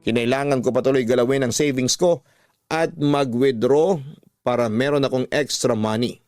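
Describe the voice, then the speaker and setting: A man's voice: mid-range voice, moderate pace, darkish tone, dry recording, clean studio sound, faint music behind, close to an everyday voice. A man narrating a scripted first-person radio story as an uncle recounting his family troubles. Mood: reflective.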